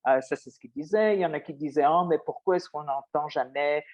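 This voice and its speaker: A woman's voice: low-pitched, fairly quick, a little mellow, lo-fi sound, a little hiss or hum. An older woman from Belgium with a Belgian French accent, speaking thoughtfully in an interview and often restarting her phrases. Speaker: interviewee, former radio worker. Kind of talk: reflective interview talk.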